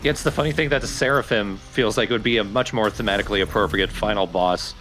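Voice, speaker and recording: Lowish male co-commentator with music behind. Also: fast, fairly bright tone. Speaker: let's play co-commentator. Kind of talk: casual game commentary.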